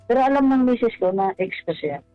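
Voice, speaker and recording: A man phoning a radio advice show, telling a personal story haltingly, stumbling over and repeating words. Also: extremely high pitch, animated, clean tone. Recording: dull lo-fi call, music behind. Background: background music.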